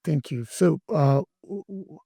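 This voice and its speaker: A middle-aged man from Brazil, speaking as an interview guest, talking in a halting way with many restarts and fillers. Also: on the high side, vocal fry, dark tone, no room sound, studio quality. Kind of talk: interview conversation.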